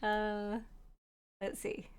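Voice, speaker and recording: A woman's voice: medium pitch, level tone, very slow, slight background noise. A young woman who speaks Norwegian as her first language chats casually with viewers while playing, reading their messages aloud and laughing often. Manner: chatty and giggly, laughs often; talks to chat while playing. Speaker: game streamer.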